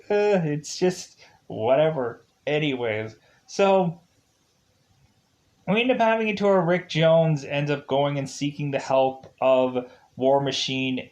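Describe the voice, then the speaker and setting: Man giving a halting, rambling review. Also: fairly high pitch, a little mellow, highs cut off. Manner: halting, repetitive, circling back over phrases. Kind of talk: casual rambling episode-by-episode cartoon review.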